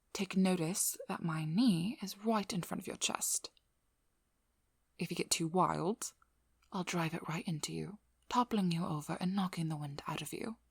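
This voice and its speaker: A woman playing a stern, wry, protective vampire hunter in an intimate ASMR role-play, speaking in a measured, firm voice that often drops to a whisper. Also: lowish voice, creaky, breathy edge, no echo, exaggerated voice. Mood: commanding, teasing. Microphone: close microphone.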